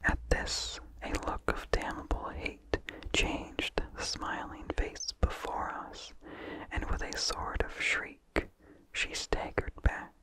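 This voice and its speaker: A person reading a vintage horror story as ASMR in a soft, hushed, deliberate whisper with no voice behind it. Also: poor audio. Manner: slow, hushed, deliberate delivery. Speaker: narrator.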